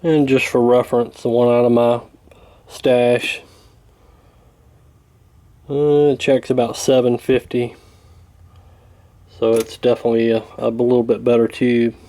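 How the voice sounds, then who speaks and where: A man giving a casual hands-on repair walkthrough in a folksy, hesitant, unhurried way. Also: mid-range voice, dark tone. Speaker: radio repair hobbyist demonstrating.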